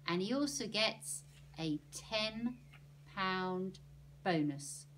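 A woman's voice: medium pitch, animated, very slow, fairly bright tone, slight background noise. A woman voicing a bossy, no-nonsense aunt with a firm, commanding manner. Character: bossy, no-nonsense aunt who lays down rules.

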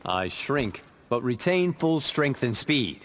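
A man's voice: mid-range voice, sing-song, slow. A man playing an earnest, modest young scientist superhero in a video game, polite and precise. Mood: modest, eager. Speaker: voice actor. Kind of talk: video game pre-fight dialogue. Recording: very noisy game audio with effects.

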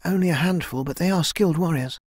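A young warrior woman of a fantasy audiobook, acted out by a male narrator, pitched well up high. The delivery is earnest and breathy, anxious yet determined, in a dry, close recording. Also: quick pace, darkish tone, no room sound. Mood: earnest, hopeful.

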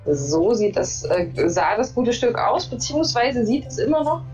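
A woman's voice: fairly high pitch, sing-song, moderate pace, darkish tone, faint music behind. A woman in her thirties from Saxony, a political scientist co-hosting a livestream and explaining her views thoughtfully. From Saxony, Germany. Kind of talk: casual livestream chat. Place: home room.

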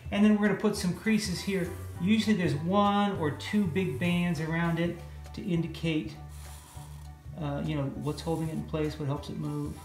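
A man's voice: fairly high pitch, steady tone, normal speed. A middle-aged man with an American accent gives a casual, playful, folksy step-by-step drawing lesson for children. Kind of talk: casual step-by-step art demonstration. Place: indoor room, at a drawing board. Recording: roomy echo with music underneath.

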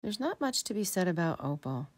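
A middle-aged woman giving a gentle, reflective scripted voice-over in a storytelling style. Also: on the low side, sing-song, a little fast. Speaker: vlog narrator.

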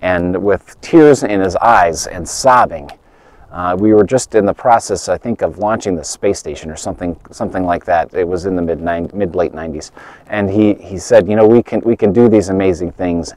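A middle-aged man preaching a video sermon outdoors, earnest and halting, restarting phrases and rising in emphasis. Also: deep voice, a little mellow, no room sound. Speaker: pastor. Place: outdoors by a snowy lakeshore in winter.

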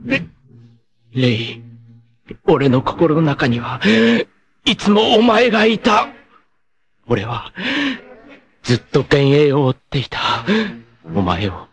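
A man voicing a vain, treacherous martial-arts villain in an anime, with a theatrical, haughty, drawn-out delivery. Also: medium pitch, big pitch swings. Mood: anguished, wistful. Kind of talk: dramatic anime voice acting.

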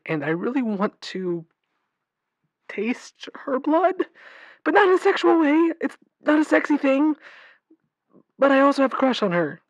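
Audio drama caller: a lovesick, anxious vampire, acted, halting and confiding, medium pitch, very dry sound. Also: dry recording. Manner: hesitant, stammering, confiding. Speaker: radio show caller. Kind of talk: audio drama call-in acting.

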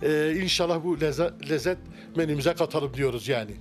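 A middle-aged man, a restaurant owner, answering interview questions in a relaxed, halting way with many fillers and restarts, inside a wooden-walled restaurant. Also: a little high, soft background music. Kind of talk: casual interview. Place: wood-paneled restaurant interior. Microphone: handheld mic held by interviewer.

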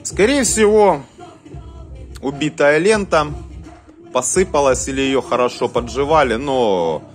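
A man gives an informal hands-on demonstration over some music. His mid-pitched voice moves up and down a lot, and he talks in short, halting, half-muttered phrases. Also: animated. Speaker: host of a cassette deck test vlog. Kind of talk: casual hands-on demonstration commentary.

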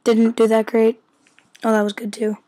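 A hoarse-voiced woman who is losing her voice from illness rambles in casual let's-play commentary at home, full of fillers and stumbles. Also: fairly high pitch, little pitch movement, moderate pace, mellow. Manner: rambling, filler-heavy, stumbles over words. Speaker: gameplay commentator. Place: a room at home.

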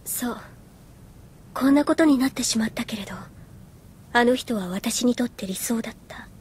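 An actress playing an earnest, reserved young woman soldier in an anime audio drama, composed and soft-spoken. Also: fairly high pitch, normal speed, slightly rough. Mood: quiet, wistful. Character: earnest, reserved young female soldier recalling her past. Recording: music behind the voice.

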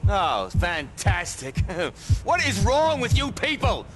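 A flamboyant middle-aged club owner, irritable and dismissive with everyone. His voice is extremely high for a man and swoops theatrically. Music plays underneath. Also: wildly swooping pitch, slow, heavily acted. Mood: exasperated, theatrical.

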